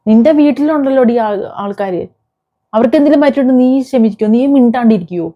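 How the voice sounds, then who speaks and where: A woman's voice: on the high side, expressive, fast talker, lo-fi sound. A woman delivering an impassioned, confrontational vlog rant, scolding someone with repeated rhetorical questions. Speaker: vlogger. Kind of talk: impassioned rant addressed to an absent person.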